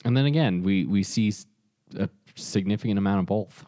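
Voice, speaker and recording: A man's voice: fairly low pitch, fairly quick, dark tone, no room sound. A man co-hosting a podcast in a casual debate, with a teasing, playfully competitive manner. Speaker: podcast co-host.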